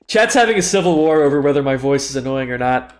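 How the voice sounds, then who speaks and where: A man's voice: mid-range voice, lively intonation, quick pace. A young man with a stuffy nose, tired and self-deprecating, rambling through casual commentary on a live game stream.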